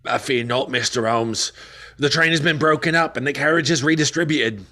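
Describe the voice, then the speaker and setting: A man's voice: mid-range voice, moderate pace, sharp tone. A man acting a courteous, earnest old railway official in a radio play.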